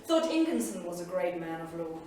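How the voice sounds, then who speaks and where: An actress playing a sorrowful, proud saga heroine and storyteller in a staged reading, solemn, measured and poetic. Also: female voice, lowish voice, big pitch swings, darkish tone. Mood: regretful. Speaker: actor. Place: small theatre studio. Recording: distant, echoey theatre recording, faint noise.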